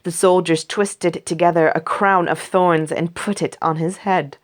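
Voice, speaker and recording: A woman reading a scripture story aloud for a church congregation, slow and measured. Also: fairly low pitch, steady tone. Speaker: scripture reader. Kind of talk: scripted read-aloud narration.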